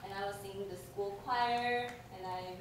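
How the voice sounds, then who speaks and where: Young woman, Chinese accent, halting talk with lots of ums; distant mic, echoey hall, noisy. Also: mid-range voice, steady tone. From China. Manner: halting, many ums and restarts. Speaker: alumni speaker. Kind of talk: presentation talk with slides. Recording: echoey room from far off, noise.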